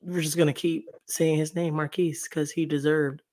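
A young adult woman with a deeper voice, hosting a casual interview in a supportive, easygoing way. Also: flat delivery, moderate pace, darkish tone. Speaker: host.

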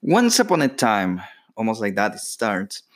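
A man's voice: on the low side, huge pitch swings, moderate pace. A man in his thirties from Ecuador, speaking English with an Ecuadorian Spanish accent as a podcast host, in a casual solo monologue that rambles with loose phrasing and many fillers.

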